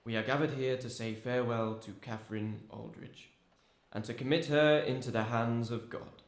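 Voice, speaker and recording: A man playing a solemn vicar in a radio drama, preaching at a funeral in a measured way. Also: medium pitch, steady tone, natural voice. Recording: echoey, reverberant church-like sound.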